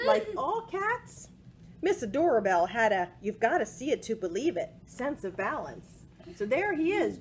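A woman in her forties reading a picture book aloud to children in an expressive way, with asides about the pictures. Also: very high-pitched. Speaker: library storytime presenter. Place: small indoor room with bookshelves. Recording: home recording with faint background noise.

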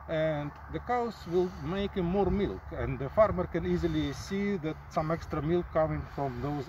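A man in his forties with a Russian accent, talking in halting English and reciting poetry slowly and dramatically in an open field outdoors, recorded by a camera a few meters off. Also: fairly high pitch, darkish tone. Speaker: performance artist. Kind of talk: deadpan comic monologue with recited poetry. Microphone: camera mic at a few meters. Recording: distant outdoor mic, faint field noise.

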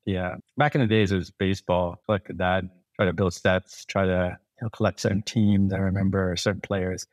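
A man's voice: low-pitched, little pitch movement, normal speed, few pauses, no echo. A man in his forties from Thailand, a podcast guest telling long stories in a rambling way, with many restarts and fillers. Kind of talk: casual interview storytelling.

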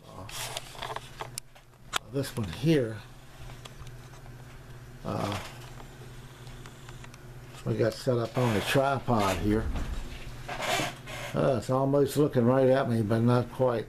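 A man's voice: mid-range voice, creaky. A middle-aged or older man giving a casual, unscripted equipment review as a hobbyist, rambling and hesitating often. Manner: halting, rambling delivery with long hesitations. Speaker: amateur radio hobbyist presenting his equipment. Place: small indoor home radio room. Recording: noisy home camera recording.

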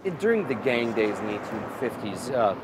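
A man's voice: medium pitch, big pitch swings, fairly quick. A man in his thirties leading an outdoor walking tour, telling history as a story with lots of fillers and restarts. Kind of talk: casual guided history talk. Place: outdoors on a city street beside a prison wall. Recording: outdoor street with traffic noise.

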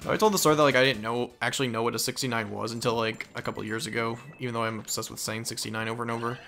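Male let's play host, mid-pitched, casual and goofy, rambling commentary with game music behind. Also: fairly even intonation, racing, gravelly, pushed and bright. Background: video game music.

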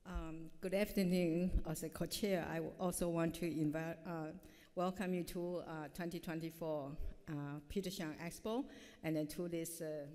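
A woman's voice: on the low side, steady tone, normal speed, warm tone. A middle-aged woman professor giving a formal introduction from notes at a podium mic in a large hall, with frequent hesitations. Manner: reads from notes with frequent uh fillers. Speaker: professor introducing a keynote speaker. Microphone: podium microphone. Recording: long hall reverb.

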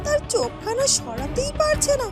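A woman's voice: very high-pitched, very animated, moderate pace, music in the background. A woman voicing a vain, spiteful young princess in a fairy-tale cartoon, haughty and aggrieved. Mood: smug wonder. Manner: haughty, self-absorbed, aggrieved. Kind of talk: cartoon dialogue and inner monologue.

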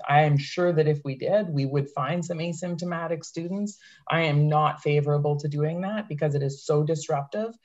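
A woman's voice: sits low, darkish tone. A woman in her fifties, a public health doctor, answering questions candidly on a video call. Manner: candid, plain-spoken. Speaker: public health medical officer. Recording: dull lo-fi call audio.

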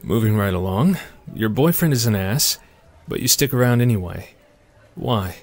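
A man's voice: fairly low pitch, animated, moderate pace, a little mellow. A man voicing a cocky young college student with a dry, teasing manner, in a comic dub. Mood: smug. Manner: smug, teasing, laid-back.

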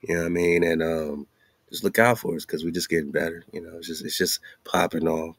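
A man's voice: low-pitched, fairly even intonation, brisk, no echo. A man hosting a show, chatting casually and slangily as he hypes music, with laid-back repeated filler phrases. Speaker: radio show host. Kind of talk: casual hype chat promoting music.

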